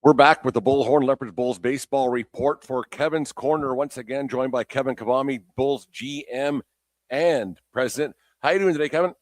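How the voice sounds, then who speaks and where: A man's voice: mid-range voice, normal speed, no echo. Male sports show host, dry studio-like sound. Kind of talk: sports show presenting and ad read.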